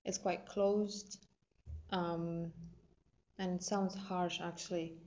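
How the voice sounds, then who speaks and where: A woman's voice: lowish voice, flat delivery, slow, darkish tone. A woman in her twenties from Poland with a Polish accent, a drama student talking casually and hesitantly about herself, in a dull lo-fi recording. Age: 20s. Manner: hesitant, many ums and restarts. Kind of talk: casual personal talk.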